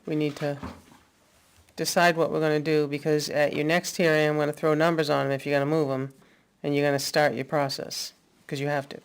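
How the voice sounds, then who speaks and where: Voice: medium pitch, flat delivery, brisk, clean tone. A person helping a town planning board with its wording, talking through edits at a public meeting.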